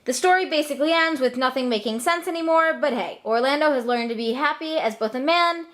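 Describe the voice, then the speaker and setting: A woman's voice: high voice, fairly quick, fairly bright tone. A young woman narrating a comic scripted story in a flippant, casual manner.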